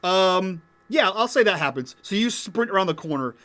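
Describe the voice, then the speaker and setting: A man's voice: high-pitched, lively intonation, normal speed, pushed and bright. A man narrating as game master of a tabletop game, casual with many fillers.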